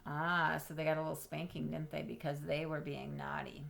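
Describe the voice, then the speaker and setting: A woman reading a children's storybook aloud expressively, with dramatic pauses, drawn-out words and questions to her young listeners. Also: sits low, level tone, gravelly, fairly bright tone. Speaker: storybook reader.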